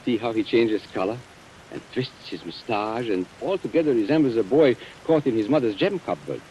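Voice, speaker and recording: An actor playing a suave, cold-blooded blackmailer in old radio style, mocking and smoothly formal in his delivery. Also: mid-range voice, sing-song, moderate pace, warm tone, lo-fi sound, natural voice. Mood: amused, smug. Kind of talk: 1940s radio acting. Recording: hissy old radio recording, rain sounds.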